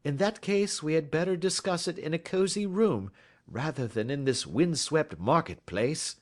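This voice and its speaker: A man voicing a confident detective who speaks in a polished, measured, sweetly ironic way. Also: on the high side, voice pushed up, lightly acted. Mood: genteel. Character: confident, genteel male detective, quietly amused. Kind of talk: audiobook character voice.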